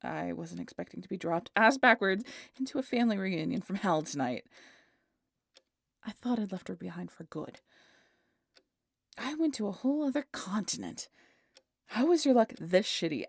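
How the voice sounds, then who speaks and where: A woman playing a weary, guarded, sardonic vampire in an intimate audio roleplay, with a breathy, husky delivery that often drops toward a whisper. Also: mid-range voice, big pitch swings, dry recording. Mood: exasperated. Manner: dry, sardonic, halting. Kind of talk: intimate scripted audio roleplay addressed to the listener.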